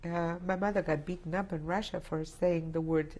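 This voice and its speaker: A Polish-born woman in her sixties, a native Polish speaker, giving reflective oral history testimony in a halting way, with many restarts. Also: on the low side, steady tone, darkish tone. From Poland. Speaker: interviewee. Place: indoor studio set with plants.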